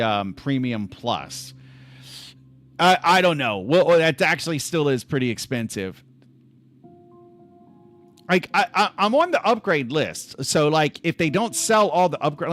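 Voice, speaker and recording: A man hosting a casual stream from his laptop at home, his voice sitting a little high and swinging widely in pitch. He rambles, trips over his words and restarts often, filling the gaps with "like." Soft music plays faintly behind him. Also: big pitch swings, unhurried. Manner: rambling, restless, full of restarts and "like". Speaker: livestream host. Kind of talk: casual livestream chat.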